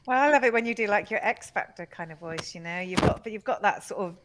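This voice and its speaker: A woman's voice: mid-range voice, fast, fairly bright tone. A woman co-hosting a casual review livestream, chatty and teasing, with lots of giggles. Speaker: podcast co-host. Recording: crackly mic with noise.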